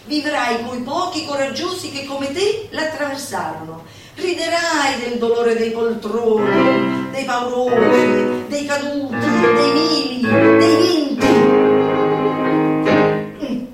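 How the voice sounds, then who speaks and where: Woman, stage performer, high-pitched, emphatic declamation, drawn-out words, very echoey distant recording. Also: animated. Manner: emphatic, drawn-out delivery with spoken asides. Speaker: theatre performer. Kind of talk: theatrical declamation of a comic manifesto. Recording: echoey live recording from far off. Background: music.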